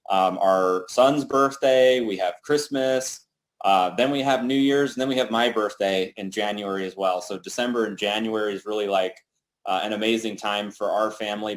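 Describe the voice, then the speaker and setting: A man's voice: lowish voice, low-quality recording. A man hosting a company team call, talking casually and upbeat, with lots of "you know" and "like".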